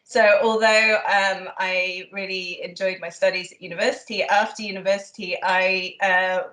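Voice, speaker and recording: Lady historian, lots of ums and uhs, thinks out loud, roomy echo. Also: medium pitch, flat delivery, normal speed. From Yorkshire, England. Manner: thoughtful, hesitant with many ums. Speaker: guest historian. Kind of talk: relaxed interview answers. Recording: echoey room.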